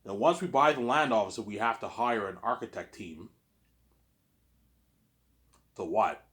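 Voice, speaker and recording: A man's voice: on the low side, lively intonation, unhurried, fairly bright tone. A man with a slight Canadian accent talking in a rambling casual monologue, often restarting and tagging on "obviously". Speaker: vlogger.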